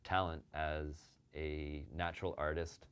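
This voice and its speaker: Deep smooth guy hosting a chat, lots of um and you know. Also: flat delivery, unhurried, highs cut off. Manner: warm praising, many fillers. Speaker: show host and agency owner. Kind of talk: casual interview.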